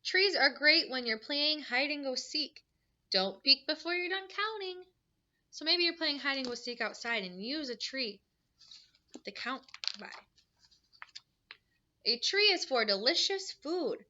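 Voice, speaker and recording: A woman's voice: sits high, lively intonation, unhurried, pauses often, pushed and bright, lo-fi sound. A woman teacher reading a picture book aloud to young children, adding simple explanations and questions in an instructive way.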